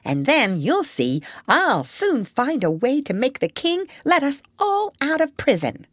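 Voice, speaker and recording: A woman's voice: high voice, huge pitch swings, a little slow, no echo, studio quality, voice pushed up, full character voice. A woman voicing a clever, confident female parrot in a children's storybook, proud and assertive. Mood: scheming. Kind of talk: children's storybook character reading.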